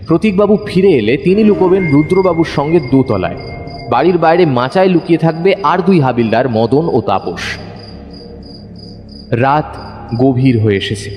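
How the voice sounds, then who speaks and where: Suspenseful male story narrator, long reverb. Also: medium pitch. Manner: suspenseful, expressive. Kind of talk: dramatic scripted first-person detective story narration.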